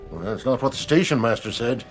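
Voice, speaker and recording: An actor playing a stern, skeptical police lieutenant in a radio drama, firm, condescending and businesslike. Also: male voice, medium pitch, a little fast, over music, close to an everyday voice. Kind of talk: dramatic radio play acting.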